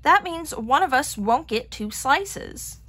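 An alarmed little girl from a storybook, acted out by a woman reader, exclaims in a high, raised voice. The delivery is urgent and dramatic, with big swings in pitch. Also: expressive, slow, bright, forceful tone, exaggerated voice. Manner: screaming, exclamatory. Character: alarmed little girls discovering missing pizza.

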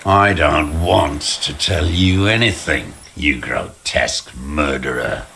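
An actor playing a bitter, defiant old communist deserter in a video game, gravelly, slow and contemptuous, speaking like a doctrinaire ideologue. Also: male voice, very deep voice, lively intonation, a little hiss or hum, heavily acted. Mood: cold, contemptuous. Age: older. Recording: loud music behind the voice. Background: music.

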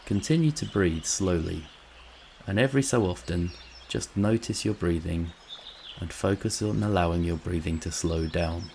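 A man narrating a scripted guided meditation in a calm, soothing, measured way, with long pauses. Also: low-pitched, creaky, a little hiss or hum.

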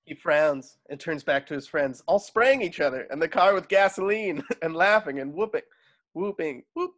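A man's voice: a little high, expressive, normal speed, fairly bright tone. A man narrating screenplay stage directions expressively in a table read over a video call. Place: indoors at home on a video call. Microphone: video call microphone.

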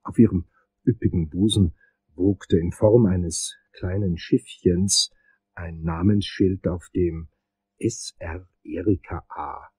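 Give the crime story to a wry, uneasy investigator. His voice is mellow and rough-edged, and he narrates his own case with dry, deliberate sarcasm in radio play style. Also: low voice, steady tone, dry recording, exaggerated voice, rough recording. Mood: sardonic observation.

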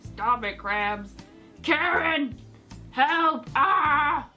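A woman's voice: high voice, animated, drawn-out words, pushed and bright, soft background music, voice pushed up, exaggerated voice. A woman voicing a tiny, indignant male cartoon villain who protests and exclaims. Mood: indignant, panicked.

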